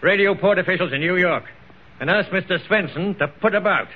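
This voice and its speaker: An actor playing a firm, matter-of-fact, authoritative sea captain in old-time radio drama style. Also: male voice, high-pitched, a little fast, lightly acted. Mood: stern. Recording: dull, hissy old radio recording.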